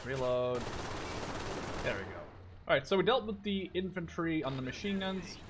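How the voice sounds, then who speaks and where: A man's voice: fairly high pitch, animated, moderate pace, background noise. A man in his twenties from the Netherlands giving casual, rambling let's-play commentary, thinking aloud and swearing offhandedly. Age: 20s. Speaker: gaming YouTuber.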